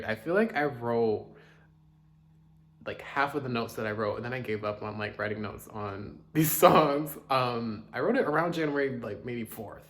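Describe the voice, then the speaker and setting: A man casually chatting through his music reviews, chatty and self-deprecating, with joking asides. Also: medium pitch. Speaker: K-pop reaction host.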